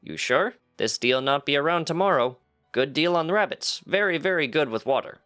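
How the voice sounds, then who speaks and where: A man's voice: fairly high pitch, expressive, brisk, bright, forceful tone, smooth, pitched above the performer's own voice. A storybook market trader, old and brusque, acted out by a man in a voice lifted well above his usual talk. He speaks in clipped, broken sentences and haggles bluntly, with music behind him. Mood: haggling. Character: gruff old graybeard market trader, terse broken speech.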